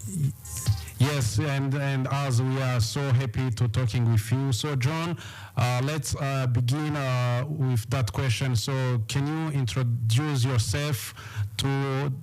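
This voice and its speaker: A man hosting a radio interview, speaking in halting English and often repeating words. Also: mid-range voice, steady tone, darkish tone, poor audio. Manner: halting, repetitive phrasing in English. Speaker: radio host interviewing a musician. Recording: music playing behind the voice.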